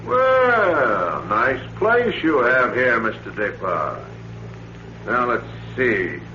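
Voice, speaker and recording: A man's voice: mid-range voice, very animated, very slow, exaggerated voice. A man playing a shrewd American police captain in a 1940s radio thriller, folksy in manner but insinuating and pressing. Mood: wry, needling. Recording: roomy, dull vintage radio recording, faint noise.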